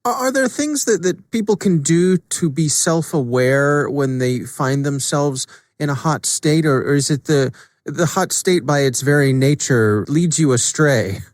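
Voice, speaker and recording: A man hosting a podcast interview, casual and conversational, repeating words haltingly as he frames his thoughts. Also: fairly high pitch, animated, no echo, studio quality.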